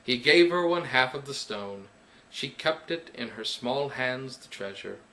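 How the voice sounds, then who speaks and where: A man recites poetry as a dramatic narrator. His delivery is very slow and measured, with emphatic pauses. The tone is bright and forceful, at an average male pitch. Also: expressive, rough recording. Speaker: poet reading own work.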